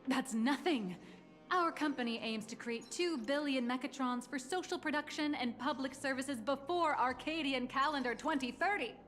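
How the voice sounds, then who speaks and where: A proud company guide woman walks visitors through her firm's achievements in a video game. She sounds polite and businesslike, in a slightly raised register. Soft music runs underneath. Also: high-pitched, normal speed. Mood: brisk pitch. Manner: polite, promotional, explanatory.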